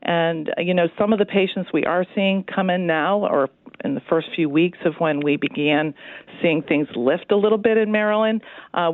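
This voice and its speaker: A woman speaking as a hospital executive in a radio interview, explaining things in a measured, reassuring way over the phone. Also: lowish voice. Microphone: telephone. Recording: tinny, narrow phone line.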